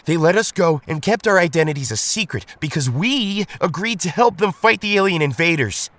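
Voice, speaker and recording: A man's voice: sits high, animated, a little fast, fairly bright tone, no echo. A man playing a stern young superhero team leader in an audio drama, reproachful and emphatic toward a friend. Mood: angry, reproachful. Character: Tommy, stern young male Power Ranger leader, disappointed friend.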